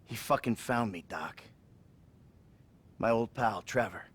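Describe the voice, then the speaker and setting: An actor playing a weary, defensive middle-aged ex-criminal who talks bluntly and swears, in a video game cutscene. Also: male voice, fairly low pitch, takes it slowly, husky. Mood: troubled. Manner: blunt, profane, self-mocking. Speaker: therapy patient.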